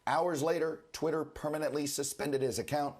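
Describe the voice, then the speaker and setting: A man anchoring a news broadcast and interviewing a guest, speaking with a rough, hoarse voice while under the weather. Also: fairly high pitch, steady tone, darkish tone. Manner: measured, formal newsreader delivery.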